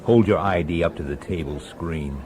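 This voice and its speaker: An actor playing a world-weary middle-aged police detective in a radio drama, speaking tersely and dryly, also as narrator. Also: male voice, low-pitched, normal speed, background noise, lightly acted. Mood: dry, casual. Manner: terse, dry, clipped. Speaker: detective and first-person narrator.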